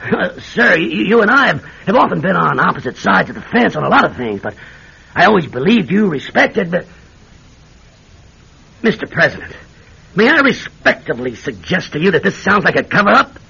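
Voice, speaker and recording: High, raspy, blustering senator. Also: male voice, big pitch swings, moderate pace, bright, forceful tone, exaggerated voice. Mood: deferential, flustered. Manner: blustering, imperious. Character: pompous, bullying senior senator and brigadier general. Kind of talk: classic radio drama acting. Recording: dull old radio drama recording.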